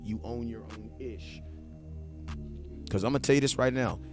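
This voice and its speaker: A man's voice: mid-range voice, a little slow, soft background music. A man, chatting loosely on a live stream, talking straight to his viewers and repeating points for emphasis. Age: 40s. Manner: loose slangy talk, addresses viewers directly, repeats himself for emphasis. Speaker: live streamer, sports fan host. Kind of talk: casual live-stream chat reading viewer comments.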